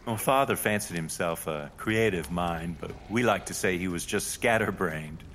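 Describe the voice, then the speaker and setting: An actor playing a wealthy, courteous, slightly smug heir in an audio drama, with a polite, refined, airy manner. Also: male voice, deep voice, normal speed, fairly bright tone. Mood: hosting, polite. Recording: long echo of big hall, ambient noise.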